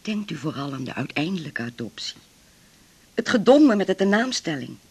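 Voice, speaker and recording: An actor playing a discreet, pragmatic lawyer with a formal, measured way of talking, in a radio drama. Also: male voice, a little high, big pitch swings, breathy edge, full character voice. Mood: advising, reassuring. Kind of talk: naturalistic 1980s radio drama acting.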